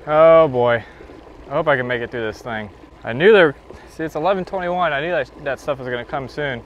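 A man's voice: a little high, a little fast, muffled recording, slight background noise. A young man vlogging as he fishes from a kayak on an open lake, chatting casually to himself and the fish and laughing now and then, picked up by an action camera mounted on the boat. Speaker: fishing vlogger. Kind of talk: casual vlog narration.

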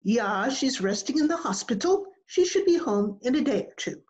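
A woman's voice: a little high, lively intonation, normal speed, darkish tone, lightly acted. A woman playing a cheerful, matter-of-fact vet in old-time radio comedy style over a video call. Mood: breezy. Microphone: video call computer mic. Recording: slightly dull video-call audio.

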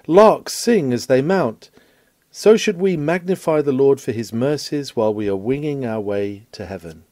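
A man reading an old sermon aloud in a measured, declamatory way, with swelling emphasis. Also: mid-range voice, a little mellow, no echo. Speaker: reader of a historical sermon.

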